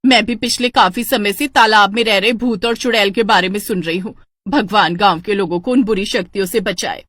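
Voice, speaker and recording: A woman's voice: mid-range voice, fairly quick, fairly bright tone, no room sound, studio quality, lightly acted. A woman voicing an anxious village wife and mother in a cartoon melodrama, with a lamenting, melodramatic manner.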